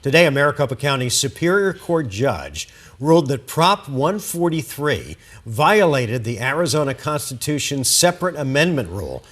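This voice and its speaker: A man anchoring an evening newscast, hoarse as he loses his voice, reading with lively delivery. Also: medium pitch, normal speed. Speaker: news anchor.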